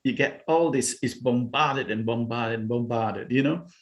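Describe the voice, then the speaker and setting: A man's voice: medium pitch, normal speed, dull audio. Hesitant man from Singapore on a video call. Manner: hesitant and searching, many restarts and "you know". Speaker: guest, spiritual healer and meditation teacher. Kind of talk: casual interview talk over a video call.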